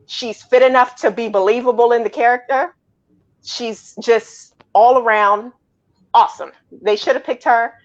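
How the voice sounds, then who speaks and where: A woman's voice: fairly high pitch, steady tone, moderate pace. A woman on a casual discussion panel, arguing her picks in an insistent, emphatic way. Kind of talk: casual panel movie debate. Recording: dull lo-fi audio.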